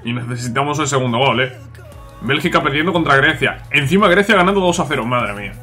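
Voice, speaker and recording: A man's voice: mid-range voice, animated, fast, pushed and bright, soft background music. Chatty man with a sore throat. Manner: chatty, grumbling, repeats himself. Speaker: gaming YouTuber. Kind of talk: casual game commentary.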